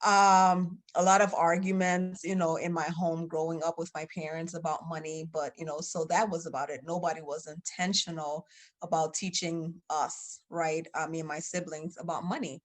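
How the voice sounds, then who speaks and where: A woman's voice: low-pitched, little pitch movement, moderate pace. A woman in her thirties from Liberia, a guest telling her story in a casual interview, talking with many fillers and restarts. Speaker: guest, children's book author.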